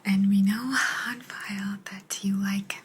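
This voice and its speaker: A woman voicing a kind but aloof alien examiner in an ASMR roleplay, in a breathy whisper with a gentle, halting delivery. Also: medium pitch. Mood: calm, aloof. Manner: slow, halting, gentle, calmly detached.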